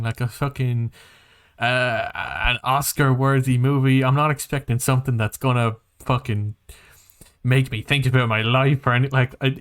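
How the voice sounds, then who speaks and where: Male podcast co-host, mid-pitched, animated and sweary, loose halting delivery for casual pop-culture chat. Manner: rambling, halting. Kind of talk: casual film and game chat.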